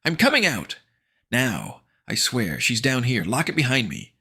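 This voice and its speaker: A man voicing a cowardly teenage boy who yells in a bratty, frantic way. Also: sits low, big pitch swings, normal speed, slightly rough, voice pushed up, exaggerated voice. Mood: panicked. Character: panicky cowardly teenage boy, whiny and shrill.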